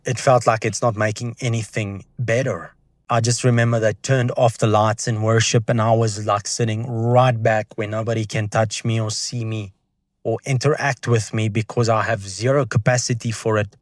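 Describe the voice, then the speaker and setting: Low, even-toned young man giving testimony. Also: dry recording. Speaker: video creator sharing a personal testimony.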